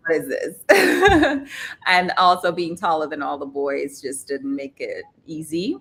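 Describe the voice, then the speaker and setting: A woman's voice: low voice, measured pace, slightly rough. A woman in her thirties from Trinidad with a Trinidadian accent, a podcast guest telling stories with frequent laughter. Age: 30s. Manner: laughs often, lively storytelling. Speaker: guest, model agency founder. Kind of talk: podcast interview.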